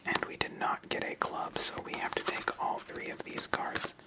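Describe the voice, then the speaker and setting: A host whispering ASMR commentary while playing a solo card game, explaining each move step by step in an unvoiced whisper. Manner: slow, step-by-step narration of moves.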